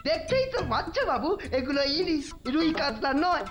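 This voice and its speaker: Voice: sits high, big pitch swings, moderate pace. An actor voicing a vain, irritable male royal minister in a cartoon, blustering and grumbling. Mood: irritated. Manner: blustering, grumbling, tag questions, chuckles. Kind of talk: animated cartoon character acting. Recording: music behind the voice.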